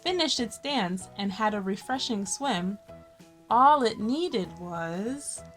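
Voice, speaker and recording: A woman's voice: medium pitch, lively intonation, slow pace, soft background music. A woman hosting a children's story time, reading a picture book expressively with friendly asides and stressed key words.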